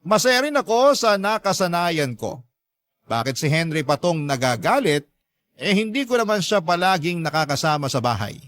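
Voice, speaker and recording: Slightly high male storyteller, dry sound. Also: very animated, moderate pace, fairly bright tone, no room sound. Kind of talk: first-person scripted dramatic narration.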